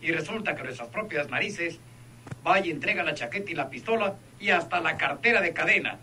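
An actor playing a scornful, mocking police captain, sarcastic, in a radio serial. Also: male voice, a little high, lively intonation, fairly quick, bright, forceful tone, a little hiss or hum. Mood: mocking, indignant. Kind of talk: radionovela acting.

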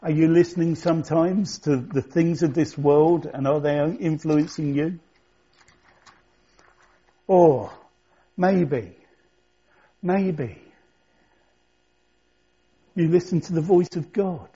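A man's voice: fairly high pitch, slow, long pauses, dark tone, husky. A man preaching a sermon as pastor, speaking conversationally and reflectively, asking his listeners questions. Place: church. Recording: dull lo-fi church recording.

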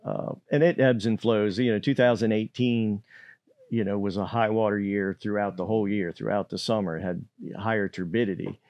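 A man's voice: fairly low pitch, steady tone, darkish tone, no echo. An older man, a state fisheries manager, giving careful answers in a podcast interview, with frequent fillers and restarts. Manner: measured, hesitant, many fillers and restarts. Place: indoor podcast studio. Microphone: boom-arm studio mic.

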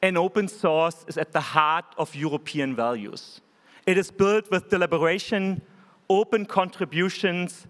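A man in his forties with a German accent, giving an earnest, measured keynote speech from a conference stage podium microphone. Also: high-pitched. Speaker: keynote speaker. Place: large conference hall stage. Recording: hall reverb.

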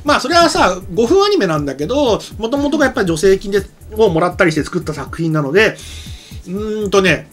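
A man's voice: sits high, sing-song, quick pace, a bit bright, soft background music. A man in his forties casually explaining anime as a show commentator, rambling and correcting himself as he goes. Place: small indoor streaming studio. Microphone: desk microphone.

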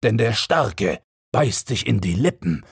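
Man acting brusque robber henchman, raised pitch. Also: big pitch swings, measured pace, gravelly, husky, dry recording, studio quality, exaggerated voice. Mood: brusque warning.

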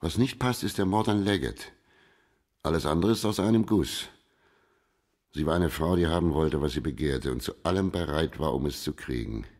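An actor playing a terse, unsentimental private detective in a radio crime drama. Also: male voice, extremely low pitch, normal speed, darkish tone. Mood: dry, terse. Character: matter-of-fact hard-boiled private detective.

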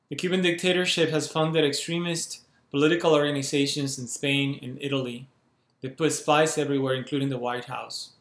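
A man in his thirties from Cuba makes a heartfelt appeal straight into a webcam. He speaks in measured, urgent phrases at a middle pitch. The small room at home gives his voice a noticeable echo. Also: fairly bright tone. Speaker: activist making an appeal. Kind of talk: earnest direct-to-camera appeal. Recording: roomy echo from a bare room.

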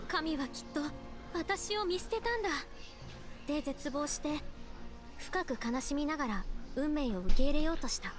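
A woman playing a gentle, devout young woman in a Japanese anime game, speaking earnestly and politely. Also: high voice, animated, normal speed, over music. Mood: solemn storytelling. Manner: earnest, polite feminine phrasing.

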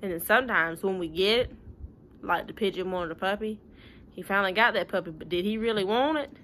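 A woman's voice: medium pitch, a little fast, fairly bright tone, slight background noise. A young woman, talking informally to pupils and parents in a folksy, plain-spoken way with rhetorical questions. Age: young adult. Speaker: schoolteacher. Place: living room at home.

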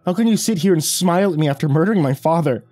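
Man acting a bitter, grieving, husky-voiced accuser. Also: medium pitch, animated, a little fast, mellow, no room sound, voice pushed up. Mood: angry, incredulous. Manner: tense, demanding, bitter. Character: grieving, angry child of a murdered father. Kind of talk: dramatic story dialogue. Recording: background music under the voice.

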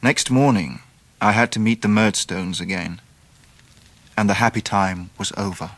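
An actor playing a grown man tenderly recalling his boyhood, narrating a literary radio drama in a reflective, gentle manner. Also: lowish voice, normal speed. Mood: wistful.

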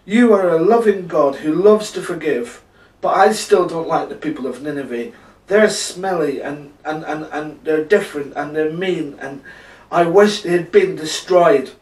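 A man as sulky storybook prophet, whiny groans, raised pitch, drawn-out words, echoey distant recording. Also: darkish tone, lightly acted. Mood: groaning, whiny. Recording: roomy, echoing, distant microphone.

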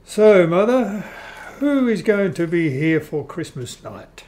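A measured, polite son of vampires played by a man in a radio play. He speaks slowly in a slightly high male voice, as if explaining things with care. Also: sing-song, a little mellow, heavily acted. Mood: curious. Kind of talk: amateur radio play acting.

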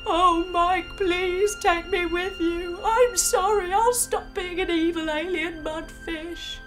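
A woman voicing an evil male alien mudfish in a children's story, speaking in a slow, drawn-out villain voice. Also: sits high, music in the background. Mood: pleading.

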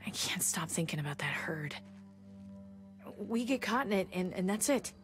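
A woman's voice: on the low side, animated, normal speed, close to an everyday voice. An actress playing a world-weary, sardonic woman in her thirties surviving a zombie apocalypse in a video game, blunt and wry. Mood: worried, bleak. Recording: faint music underneath.

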